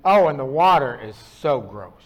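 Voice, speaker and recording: A man's voice: medium pitch, sing-song, normal speed, rough recording. A middle-aged man with a northern US accent, a pastor from the Upper Midwest giving a sermon and telling stories with self-mocking humor.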